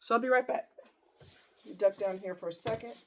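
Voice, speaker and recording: A woman's voice: mid-range voice, normal speed, a little mellow, slight background noise. A woman vlogger chatting casually to her audience in a rambling, conversational way with frequent asides. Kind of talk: casual chat to camera.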